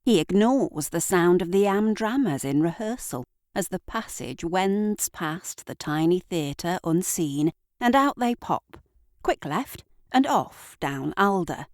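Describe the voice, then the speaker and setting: A woman narrating an audio drama in a deliberate, theatrical storytelling manner, with dramatic pauses. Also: medium pitch, no room sound, clean studio sound. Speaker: narrator and writer.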